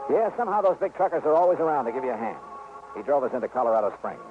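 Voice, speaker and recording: An actor playing a sharp, skeptical insurance investigator in a brisk, clipped, wry radio detective style. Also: male voice, medium pitch, sing-song, lightly acted. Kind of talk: 1950s radio detective drama acting with first-person narration. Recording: tinny, noisy old radio recording.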